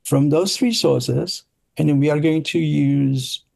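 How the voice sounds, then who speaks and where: A middle-aged man with a Turkish accent, telling stories casually as an interview guest, often halting and searching for words. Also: a little high, creaky, darkish tone, no room sound. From Turkey. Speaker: interview guest, product designer. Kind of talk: casual conversational storytelling.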